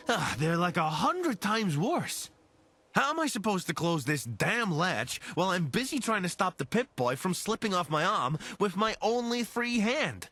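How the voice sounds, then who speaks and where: Video game companion: a wry, friendly scavenger, bright and animated, casual and talkative. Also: male voice, fairly high pitch, heavily acted. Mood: exasperated. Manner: chatty, dryly humorous, casual.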